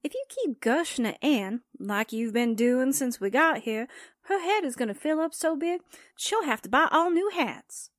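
Sassy, lively woman, 1940s radio drama actress. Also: sits high, normal speed, dry recording, studio quality. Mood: sassy teasing. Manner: sassy, slangy, exclamatory. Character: wisecracking grown daughter, loyal small-town newspaperwoman.

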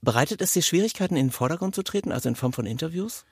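Lively male podcast host, very dry sound. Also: on the high side, racing, husky, no room sound. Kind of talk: prepared podcast introduction and conversational interviewing.

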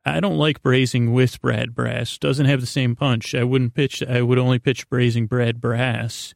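A man hosting a sleep podcast with a rambling, improvised monologue, halting and circling back on himself in an unhurried, lulling way. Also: mid-range voice, little pitch movement, creaky, dry recording, studio quality. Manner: halting, meandering, self-interrupting, with trailing repetitions. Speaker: podcast host.

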